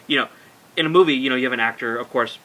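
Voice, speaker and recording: Very bright, quick-talking man. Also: mid-range voice, low-quality recording. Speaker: podcast panelist. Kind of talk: casual panel discussion.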